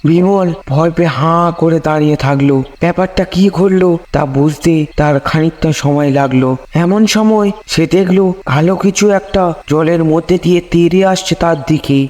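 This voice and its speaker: A man's voice: a little high, normal speed, few pauses, darkish tone. A man reading a scripted ghost story as the narrator. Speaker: narrator of a horror cartoon story. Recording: long hall-like reverb on voice.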